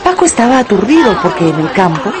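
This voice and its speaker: A woman narrator reading a scripted radio story in an expressive, dramatic storytelling manner. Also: mid-range voice, fast talker. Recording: loud music and crowd effects behind.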